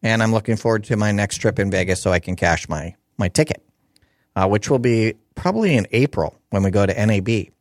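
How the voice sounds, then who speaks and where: Hoarse, chatty radio host, slightly low voice. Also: male voice, brisk, dry recording, studio quality. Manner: rambling, chatty, many fillers and restarts. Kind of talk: casual call-in radio talk.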